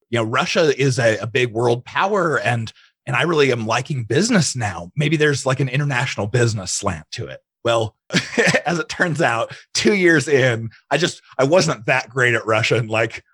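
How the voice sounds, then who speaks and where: A man's voice: medium pitch, fairly bright tone, slightly rough, no room sound. A man speaking as an interview guest, chatting casually and telling personal stories, often quoting his own thoughts. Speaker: interview guest, digital marketing entrepreneur.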